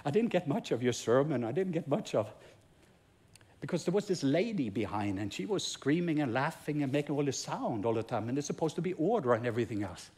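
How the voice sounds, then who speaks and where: Norwegian guy preaching in english, kinda husky voice, storytelling sermon that builds up. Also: mid-range voice, sing-song, brisk, a little mellow. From Norway. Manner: storytelling with emphatic, repeated build-ups. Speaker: pastor. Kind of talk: sermon testimony.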